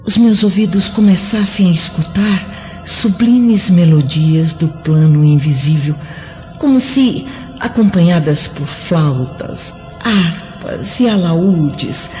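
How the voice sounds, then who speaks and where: A woman's voice: lowish voice, mellow. A woman playing a serene, devout noble spirit in a solemn, lyrical, declamatory radio drama. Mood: awed rapture. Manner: lyrical, declamatory, drawn-out phrasing. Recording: muffled old radio drama with music.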